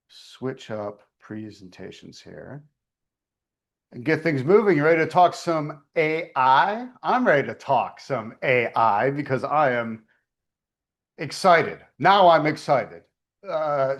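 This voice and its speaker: A man hosting an online meeting, presenting in a chatty, storytelling way. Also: mid-range voice.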